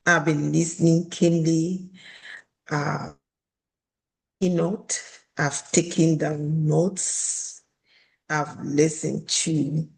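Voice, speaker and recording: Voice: medium pitch, gravelly, darkish tone, low-quality recording. An advisory board chair from Cameroon gives acceptance remarks, speaking with many restarts.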